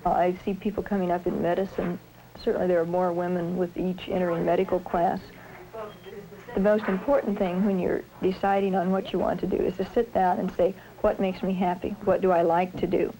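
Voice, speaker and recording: A woman surgeon speaking in a measured, articulate way in a reflective documentary interview. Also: lowish voice, flat delivery, a crowd in the background, low-quality recording. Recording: 1970s film sound with faint noise.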